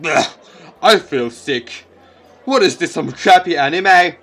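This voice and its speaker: A man acts out a sardonic grown man from a visual novel. He drawls his mockery slowly in a bright, forceful voice, relishing every tease. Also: a little high, animated, a faint crowd, full character voice. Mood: mocking. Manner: mocking, drawn-out teasing. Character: sardonic, teasing adult man, mister at an academy.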